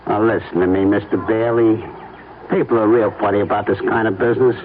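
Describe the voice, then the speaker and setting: An actor in a 1970s radio drama playing an oily, falsely friendly small-time blackmailer with a folksy, wheedling, apologetic manner. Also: male voice, on the low side, fairly even intonation, fairly quick, lightly acted. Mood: oily, coaxing. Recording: old radio broadcast, narrow band, noisy.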